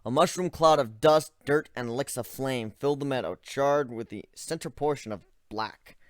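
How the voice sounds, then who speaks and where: A man narrating a first-person fantasy story, measured, dropping low and quiet at tense moments. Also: no room sound, studio quality.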